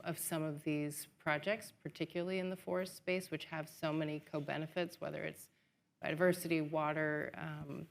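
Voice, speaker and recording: Woman, panelist, measured, hedging, very low voice, gravelly. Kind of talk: panel discussion remarks.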